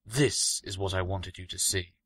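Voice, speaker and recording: A man's voice: low voice, fairly even intonation, normal speed, a bit bright, breathy edge, no echo. A man voicing a learned, mysterious countryman in a story, grave, knowing and emphatic.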